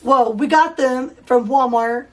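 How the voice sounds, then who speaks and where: A woman with a hoarse, high voice hosts a casual podcast. She talks slowly and rambles with fillers and restarts. Her voice is picked up from a few feet back from the microphone. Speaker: podcast host. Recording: voice distant from the mic.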